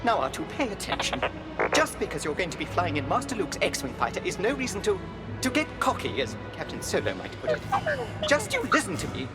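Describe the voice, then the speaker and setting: High, fussy, fretful droid. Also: male voice, wildly swooping pitch, fairly quick, music in the background. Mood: fussy worry. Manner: fretful, scolding, prissy. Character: fussy, anxious, prim male protocol droid. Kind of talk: radio drama acting.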